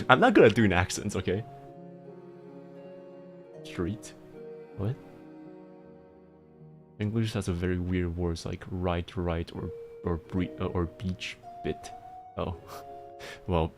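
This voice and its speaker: A man livestreaming and chatting casually, explaining things in halting bursts with frequent restarts and laughter, speaking with a mixed accent. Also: deep voice, over music. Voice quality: self-described mixed accent. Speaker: livestreamer answering chat.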